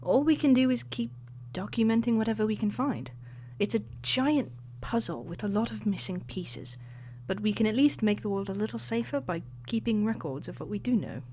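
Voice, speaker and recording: A woman voicing a female artifact historian and author who explains things in a measured, lecturing way. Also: medium pitch, expressive, mellow, a little hiss or hum, lightly acted. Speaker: expert guest. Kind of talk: audio drama acting.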